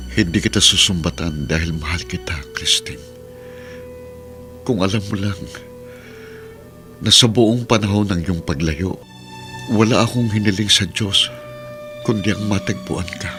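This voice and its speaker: A man's voice: low-pitched, unhurried, pauses often, over music. An actor playing an anguished, devoted lover and father in a radio drama, speaking earnestly and emotionally. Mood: pleading, tender. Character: anguished man in love, fiercely devoted new-found father. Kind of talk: Tagalog radio drama acting.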